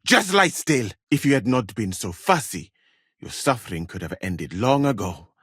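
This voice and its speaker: A man's voice: mid-range voice, sing-song, a bit bright, breathy edge, dry recording, clean studio sound. A man playing a proud, exacting medieval physician in a video game, speaking formally and precisely. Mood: exasperated, stern. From Mali. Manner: formal, measured, precise wording. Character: proud, exacting foreign male physician in a medieval war camp. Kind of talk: dramatic video game dialogue.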